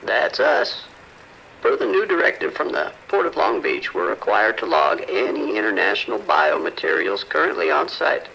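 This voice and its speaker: A man playing a polished research scientist in an audio drama, formal and precise, offering measured reassurance. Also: fairly low pitch, wildly swooping pitch, gravelly, sharp tone, slight background noise, exaggerated voice, rough recording. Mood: formal, reassuring.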